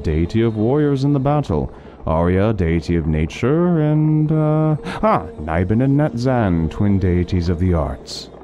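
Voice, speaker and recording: An actor playing a learned doctor who eagerly lectures on lore in an interactive fantasy story. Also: male voice, low-pitched, dark tone. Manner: talkative, lecturing, fond of lore. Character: scholarly male doctor, middle-aged, chatty lore lover.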